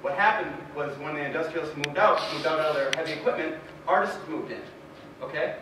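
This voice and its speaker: Man, slightly high voice, rambling audience comment, distant echoey noisy recording. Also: brisk. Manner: rambling, seeking agreement with tag questions. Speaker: audience member. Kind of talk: informal public comment.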